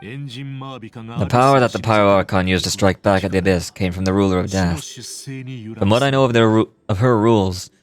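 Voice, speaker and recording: An actor playing a grave, solemn warrior captain in a video game, speaking formally and with measured weight. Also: male voice, on the low side, steady tone, lightly acted. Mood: solemn, resolute. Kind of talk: video game dialogue acting. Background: game music.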